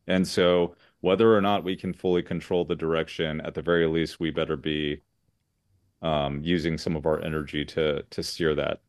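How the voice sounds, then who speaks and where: An American man from Southern California, a lawyer speaking as an interview guest, earnest and measured with many fillers. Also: very deep voice, flat delivery, no room sound, studio quality. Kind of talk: interview conversation.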